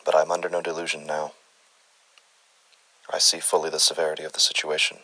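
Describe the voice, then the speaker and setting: A man's voice: rumbling low, completely flat delivery, brisk, bright, forceful tone, dry recording, heavily acted, rough recording. A man voicing a brooding male doctor who speaks in a formal, wordy, self-searching confession about a strange case. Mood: grave, confessional.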